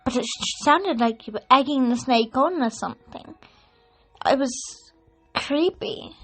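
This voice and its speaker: A woman's voice: sits high, lively intonation, unhurried, fairly bright tone, husky, soft background music, heavily acted, low-quality recording. A woman in an audio drama playing an earnest, worried schoolgirl witch of about twelve who explains things carefully. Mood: uneasy.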